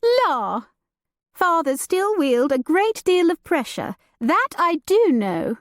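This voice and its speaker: A woman's voice: very high-pitched, very animated, slow pace, no room sound, clean studio sound, voice pushed up, exaggerated voice. A woman voicing a flighty, romantic young noblewoman with a lilting, gossipy manner. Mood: playful.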